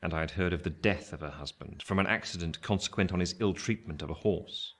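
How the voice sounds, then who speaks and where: An actor playing an older, reflective gentleman looking back on his life, narrating a radio play in a measured, wistful way. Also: low-pitched.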